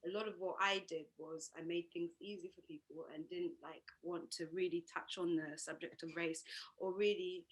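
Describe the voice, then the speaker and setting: A woman from Uganda, a yoga teacher who answers thoughtfully with many pauses and restarts. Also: on the low side, fairly even intonation, poor audio. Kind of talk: interview answers.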